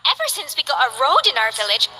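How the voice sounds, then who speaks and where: A woman's voice: high voice, sing-song, fast, background noise. A woman voicing a cheerful, chatty young female delivery courier in a video game. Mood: upbeat. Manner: bubbly, chatty, eager.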